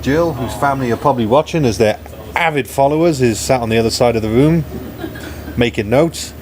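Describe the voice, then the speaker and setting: A Welsh man giving relaxed, lightly joking live commentary from a ship's control room. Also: medium pitch, fairly quick, slight background noise. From Wales. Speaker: scientist narrating a live dive broadcast.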